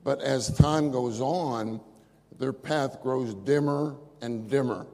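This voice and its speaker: Male preacher, slow deliberate delivery, loud voice, repeats key phrases, reverberant hall. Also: mid-range voice. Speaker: speaker at a church conference. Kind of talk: sermon. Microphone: microphone.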